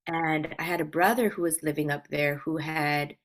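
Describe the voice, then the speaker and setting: A woman with an American accent and a low-set voice talks as a guest in an interview. She sounds to be in her thirties and tells stories informally, wandering a little and playing herself down. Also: fairly even intonation, normal speed. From Hawaii, United States. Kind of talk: casual conversational storytelling.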